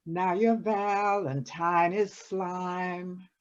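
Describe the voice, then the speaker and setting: A woman's voice: lowish voice, steady tone, extremely slow. A woman performer reading story and verse aloud in readers theatre. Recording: dull lo-fi recording.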